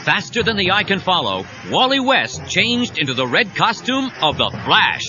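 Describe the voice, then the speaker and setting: A man's voice: high voice, very animated, moderate pace. A man narrating a scripted adventure story in a dramatic storytelling style. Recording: dull cassette sound, music behind.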